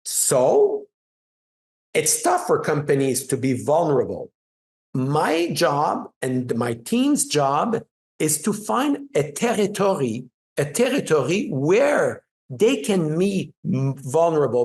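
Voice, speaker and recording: A man's voice: on the high side, gravelly. A middle-aged man with a French Canadian accent, French his first language, speaking as a guest in an interview, telling stories with emphasis and frequent restarts. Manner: animated, emphatic storytelling with restarts. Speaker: PR agency founder, guest. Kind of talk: conversational interview.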